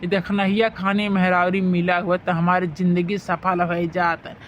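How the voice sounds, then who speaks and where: A man's voice: sits high, completely flat delivery, moderate pace, a bit bright. A man voicing a henpecked, food-loving village husband with an Awadhi accent, wheedling and complaining in a comedy cartoon. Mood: self-pitying. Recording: dull lo-fi sound, faint noise.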